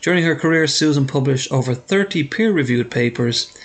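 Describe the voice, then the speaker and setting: A man hosts a podcast interview with a slightly raised pitch. He asks chatty, upbeat questions, now and then restarting mid-phrase. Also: animated, a little fast. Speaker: podcast host.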